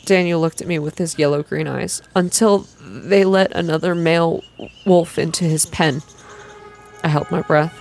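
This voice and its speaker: A woman reading a story game aloud in ASMR style, slow and gentle, with casual asides. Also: low-pitched, fairly even intonation, vocal fry, a little hiss or hum. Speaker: game streamer reading a visual novel aloud.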